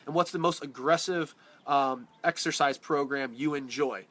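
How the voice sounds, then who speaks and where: A man's voice: on the high side, normal speed, a bit bright. A man coaching on fitness in a casual live-stream Q&A, talking directly and bluntly, sounding congested from a cold. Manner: direct, blunt, answers viewer questions by name. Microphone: phone or webcam on a live stream.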